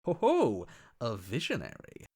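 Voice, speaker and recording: Slick, swooping video game opportunist. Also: male voice, medium pitch, wildly swooping pitch, unhurried, dark tone, breathy edge, no room sound, clean studio sound, heavily acted. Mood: delighted, ingratiating. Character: slick, fawning male opportunist, scheming flatterer.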